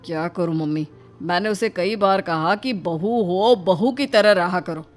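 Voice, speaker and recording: A weary young husband put on by a woman in a cartoon tale, whining and fed up. The pitch is pulled down from the narrator's own and the pace is slow, with music behind. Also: lightly acted. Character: weary, complaining young husband and dutiful son.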